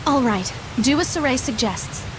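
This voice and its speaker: A woman's voice: high voice, animated, normal speed, background noise. A woman voicing a resolute princess who commands her guards in a video game, decisive in manner. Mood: commanding. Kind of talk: English game voice acting.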